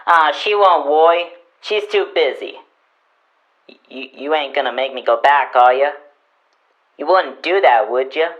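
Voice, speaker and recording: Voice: medium pitch, a little slow, a bit bright, close to an everyday voice, low-quality recording. A performer playing an eager, boastful young runaway boy with an American accent and folksy speech in a western radio drama. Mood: wheedling.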